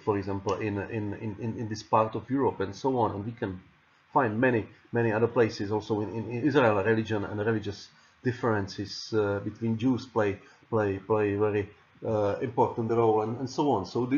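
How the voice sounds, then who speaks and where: Czech guy lecturing in english, lowish voice, lots of uh and repeated words, muffled lo-fi audio. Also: darkish tone. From Czech Republic. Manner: halting, many repeated words and fillers. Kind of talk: recorded lecture with slides. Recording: dull lo-fi audio.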